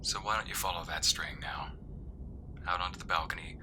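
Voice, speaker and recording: A man's voice: deep voice, fairly even intonation, brisk, noisy background, close to an everyday voice. A man playing a devoted, sentimental boyfriend in a romantic ASMR roleplay, speaking intimately and earnestly. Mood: hushed, tender. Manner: intimate, earnest, often hushed and breathy.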